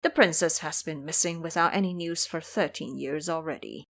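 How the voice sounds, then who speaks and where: A woman's voice: low voice, normal speed, fairly bright tone, voice pushed down. A woman playing an anxious, deferential royal official with formal, reasoned speech in an audio drama.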